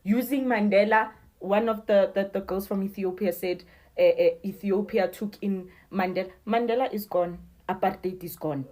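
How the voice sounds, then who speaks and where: A woman's voice: mid-range voice, fairly even intonation, moderate pace, a little mellow. A South African woman giving an emphatic opinion monologue in short, declarative sentences. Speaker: commentator.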